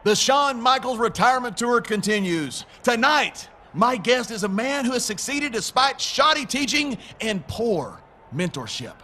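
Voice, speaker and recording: A man playing a pompous, scornful villain wrestler who hosts a talk show in a video game, speaking in emphatic, deliberate promo style. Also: very high-pitched, sing-song, pushed and bright, a little hiss or hum, full character voice.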